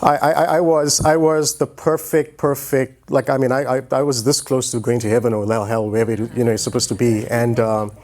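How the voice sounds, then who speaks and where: A man in his forties speaks to an event from the stage. He has a medium-pitched voice and tells his story in a loose, conversational style with a joking streak. He talks into a handheld mic as a guest speaker. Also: little pitch movement, brisk, hardly any pauses, a little mellow. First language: English. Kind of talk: informal motivational talk on stage. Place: stage in an auditorium, indoors. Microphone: handheld microphone.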